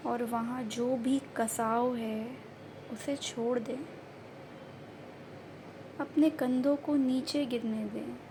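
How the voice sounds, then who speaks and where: Very slow, soothing woman guiding meditation. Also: a little high, steady tone. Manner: gentle, soothing, unhurried instructions in short phrases. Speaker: meditation guide. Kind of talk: guided meditation narration. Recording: faint background noise and ambience.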